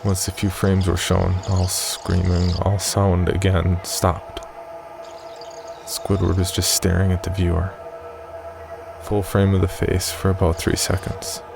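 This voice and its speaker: A man reading a scripted first-person horror story in a measured, grave way, as a podcast narrator. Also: low voice, monotone, creaky, music in the background.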